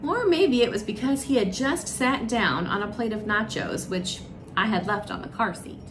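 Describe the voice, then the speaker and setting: A woman's voice: a little high, moderate pace, background noise. A woman storyteller narrating a children's storybook aloud. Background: music.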